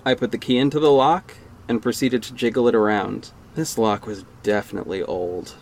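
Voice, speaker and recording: A man's voice: mid-range voice, fairly even intonation, normal speed, lightly acted. A man narrating an audio drama in the first person, with a wry, casual storytelling manner.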